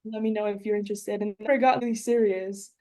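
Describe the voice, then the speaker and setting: A woman's voice: medium pitch, steady tone, fast talker, dark tone. A woman in her twenties from Colombia, a professional footballer chatting as a podcast guest, with lots of fillers and restarts. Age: 20s. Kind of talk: casual interview chat.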